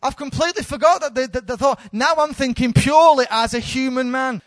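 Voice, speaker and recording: A woman's voice: high voice, a little fast, no echo, studio quality. A woman in her thirties, a church leader, giving an informal, candid sermon with self-deprecating humour. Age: 30s.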